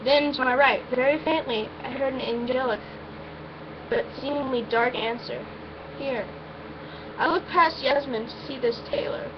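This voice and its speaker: A woman's voice: sits high, moderate pace. A woman reading a first-person story aloud in an informal, amateur way, now and then stumbling over her words. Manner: informal, stumbles and breaks off with asides. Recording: muffled, noisy amateur recording.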